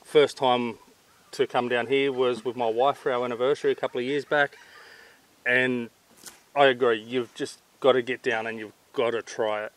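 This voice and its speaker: A middle-aged man with an Australian accent and a medium-pitched voice hosts a laid-back vlog chat outdoors. His delivery is informal and matey, like an everyday conversation. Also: steady tone, normal speed, fairly bright tone. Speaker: channel host interviewing. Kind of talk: casual vlog interview. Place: outdoors at a garden table in bushland.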